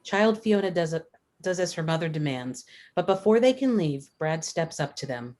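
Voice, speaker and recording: A woman's voice: low voice, lively intonation, moderate pace, darkish tone. A woman reading stage directions and scene descriptions as scripted narration at a table read.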